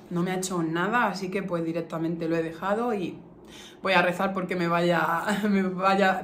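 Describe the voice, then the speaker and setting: A woman in her early thirties talks in a casual, affectionate vlog style with a Castilian accent from La Mancha. Her voice sits at a middle pitch, and she repeats words for emphasis. The room is clearly echoey, with a little background noise. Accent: Castilian Spanish from La Mancha. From Albacete, La Mancha, Spain. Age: early 30s. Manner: chatty, affectionate, emphatic with repetitions. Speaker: beauty vlogger. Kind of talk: casual chat to camera, answering viewer questions.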